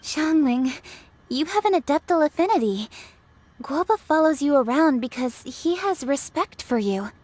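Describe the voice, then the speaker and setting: A woman's voice: high-pitched, animated, darkish tone, no room sound. A woman playing a poised, courteous young woman in a video game cutscene, speaking in a formal, earnest way. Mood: explaining earnestly. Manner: formal, measured phrasing, polite.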